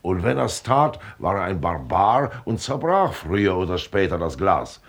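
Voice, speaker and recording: Radio drama: gruff old tycoon, husky and low, declamatory, pompous. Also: male voice, big pitch swings, moderate pace, exaggerated voice. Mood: pompous, musing. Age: older. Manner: declamatory, lecturing, blunt. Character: gruff, wealthy old millionaire father, pompous glass collector. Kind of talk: German radio play acting.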